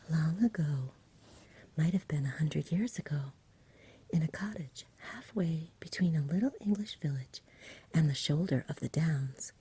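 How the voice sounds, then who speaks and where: A woman speaking in a soft, soothing whisper in an ASMR bedtime roleplay, gentle and unhurried as if talking to a child. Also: deep voice, expressive, slightly rough. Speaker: ASMR performer playing a caregiver at bedtime.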